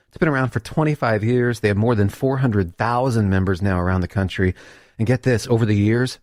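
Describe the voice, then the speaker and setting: A man's voice: medium pitch, darkish tone, no echo, clean studio sound. A man reading a radio ad in an upbeat, persuasive way. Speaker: ad announcer. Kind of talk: radio ad read.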